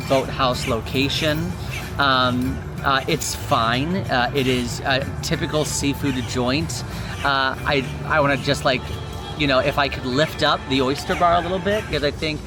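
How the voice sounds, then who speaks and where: A man's voice: medium pitch, moderate pace, over music. A man hosting an unscripted review, chatting casually in a hesitant, meandering way outdoors. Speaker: vlog host. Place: outdoors at a shopping and dining complex.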